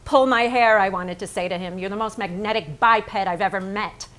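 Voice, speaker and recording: An actress playing a frank, smitten woman painter in her thirties, in a theatrical staged reading with deliberate, intimate dramatic delivery. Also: mid-range voice, fairly bright tone, a little hiss or hum, natural voice. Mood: smitten, recollecting.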